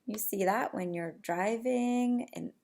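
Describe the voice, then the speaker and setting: A woman preschool teacher reading a picture book aloud to young children in an expressive, sing-song way, often asking them questions. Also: a little high, takes it slowly.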